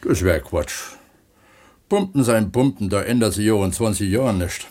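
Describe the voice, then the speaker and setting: An actor playing a down-to-earth, contented mine pump attendant, unhurried and colloquial, in a radio play. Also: male voice, lowish voice, a little mellow, natural voice. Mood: matter-of-fact. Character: plain-spoken, contented male mine pump attendant who writes. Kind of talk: 1970s East German radio play acting.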